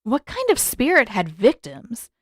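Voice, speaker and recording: A woman's voice: a little high, huge pitch swings, a little slow, no room sound, studio quality. A woman reading scripted fiction aloud as the narrator of an audiobook-style story. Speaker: narrator, fan fiction author.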